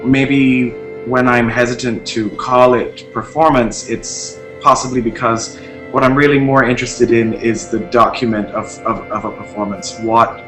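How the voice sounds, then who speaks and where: Man from Barbados, artist in interview, measured and reflective, mid voice, echoey room over music. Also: medium pitch. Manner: thoughtful, measured, with frequent pauses and restarts. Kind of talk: interview talk about his art practice.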